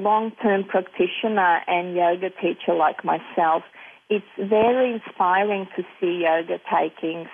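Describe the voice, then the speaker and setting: A woman from Serbia, a yoga teacher speaking as a panel guest in a measured way with frequent "um" fillers, heard over a tinny phone line. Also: fairly low pitch, creaky, husky.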